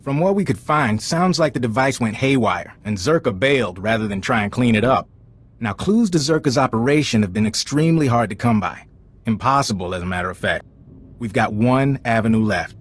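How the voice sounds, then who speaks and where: A man acts out a male intelligence agent from a sci-fi video game. He briefs a recruit frankly and informally, with a wry edge and a middle-pitched voice. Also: expressive, brisk, lightly acted. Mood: urgent, dry.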